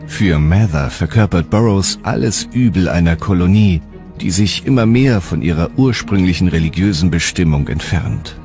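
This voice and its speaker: Very deep male documentary narrator. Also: animated, normal speed, a little mellow. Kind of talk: scripted historical narration. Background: music.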